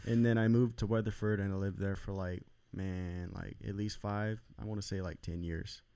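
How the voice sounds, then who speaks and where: A man's voice: deep voice, little pitch movement, normal speed, vocal fry, dark tone, smooth. A man from Arlington, Texas, who does church production, talking in a rambling, hesitant way in casual podcast chat. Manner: rambling, hesitant, many fillers.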